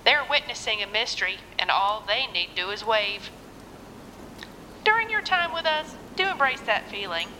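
A woman playing a gracious, devout Southern preacher's wife in an audio drama, speaking gently and formally. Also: medium pitch, lively intonation, moderate pace, in a large hall, slight background noise, poor audio. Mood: serenely devout. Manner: gentle, formal, scripture-quoting.

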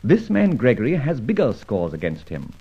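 A man playing a suave, wry gentleman adventurer in an old radio drama, urbane and wordy, with a drily witty manner. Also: medium pitch, wildly swooping pitch, moderate pace, breathy edge, full character voice. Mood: brisk, amused. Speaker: narrator and lead actor. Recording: dull old radio recording.

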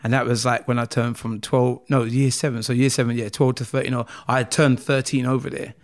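A man's voice: mid-range voice, little pitch movement, brisk. A man from South London with a South London accent, a podcast guest earnestly telling his life story in a casual interview, rambling with lots of "like" and "man". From South London, England.

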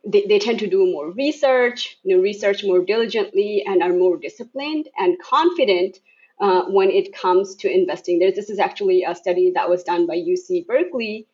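A woman's voice: medium pitch, normal speed, a little mellow. Hesitant woman guest, slightly muffled. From Bangladesh. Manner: hesitant, many fillers and restarts. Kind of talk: casual podcast interview.

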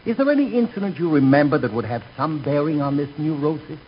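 A man's voice: on the high side, expressive, quick pace, close to an everyday voice. A man playing a polite, earnest, wordy bank teller in 1940s radio comedy. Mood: solicitous. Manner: formal, wordy. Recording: muffled, hissy 1940s radio recording.

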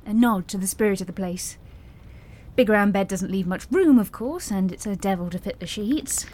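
An actress playing a nervous, apologetic lighthouse hostess who rambles in a flustered way in an audio drama. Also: female voice, medium pitch, normal speed, dark tone, lightly acted. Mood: proud, chatty.

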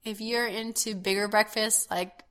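A woman in her thirties co-hosting a podcast in an easygoing chat, her voice hoarse and scratchy, laughing often. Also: medium pitch, fairly even intonation, a little slow, a bit bright. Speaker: podcast co-host. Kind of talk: casual chat.

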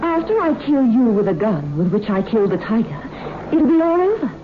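A woman's voice: fairly high pitch, big pitch swings, brisk. An actress in a 1960s radio drama playing a cold, deranged female strangler, clipped, commanding and menacing. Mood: menacing, triumphant. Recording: noisy, tinny old radio recording.

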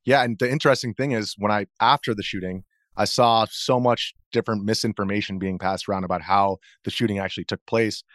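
An American man speaking as an interview guest, explaining things in a measured, conversational way. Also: lowish voice, steady tone, fairly bright tone, dry recording, studio quality. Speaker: interview guest, video journalist.

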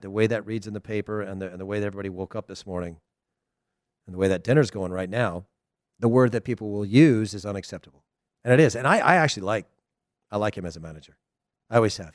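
A middle-aged man with a Southern American accent, hosting a casual soccer talk show, chatty and animated with frequent laughs. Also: fairly low pitch, no echo, studio quality. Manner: chatty, animated, laughs often, loose restarts. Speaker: soccer podcast host. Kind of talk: casual solo commentary.

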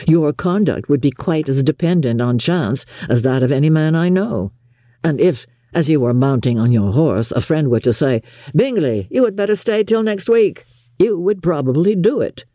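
Woman playing a proud, reserved gentleman, low and mellow. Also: lively intonation, no echo, clean studio sound. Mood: stern, critical. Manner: formal, measured, curt. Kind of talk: audiobook character acting.

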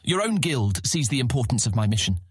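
A man playing an earnest young guild ranger in a fantasy audiobook, husky, polite and persuasive as he pleads his case. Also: medium pitch, sing-song, brisk, dry recording, clean studio sound. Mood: earnest, insistent. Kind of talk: full-cast fantasy audiobook acting.

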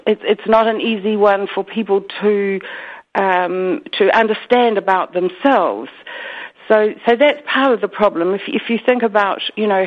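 Brief: woman, New Zealand accent, earnest radio guest over a thin phone line, stops and restarts often. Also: mid-range voice. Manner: earnest, halting with many restarts and fillers. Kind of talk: radio interview.

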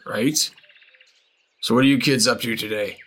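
A man's voice: medium pitch, fairly even intonation, moderate pace, fairly bright tone, slightly rough. A man voicing a rambling, odd old hermit who mumbles absurd boasts.

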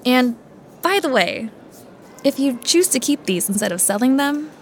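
An actress playing a courteous, careful coin appraiser who explains things politely and in measured phrases in an audio drama. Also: female voice, sits high, expressive, a little hiss or hum. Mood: polite, explaining.

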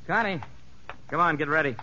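A man's voice: fairly high pitch, brisk, pushed and bright. A man playing a blunt, casual prison guard with offhand, slangy talk in old radio drama style. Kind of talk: 1950s American radio drama acting. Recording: muffled, reverberant, noisy old radio broadcast.

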